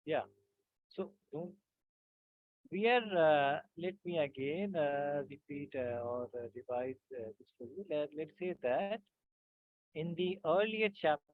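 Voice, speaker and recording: A male teacher leads an online physics class in a slightly raised voice. He talks slowly and haltingly, filling gaps with "uh" and checking in with "right?" The sound is thin and phone-like, with no room echo. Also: on the high side. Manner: halting, many fillers, keeps checking with "right?". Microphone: video call. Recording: tinny dry call audio.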